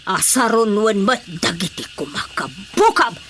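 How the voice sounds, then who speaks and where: A woman's voice: mid-range voice, very animated, unhurried, background noise. An actress playing a stern old grandmother frog who passes solemn judgement in a Filipino radio drama. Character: stern old grandmother of all frogs, mystical forest judge.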